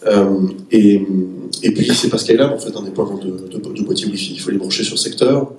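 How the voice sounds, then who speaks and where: A man's voice: lowish voice, a little fast, gravelly, darkish tone. A man who has a cold, explaining his technical business on a round-table panel. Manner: explanatory, technical, self-correcting. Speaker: panelist, startup executive. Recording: echoey room, far from the mic.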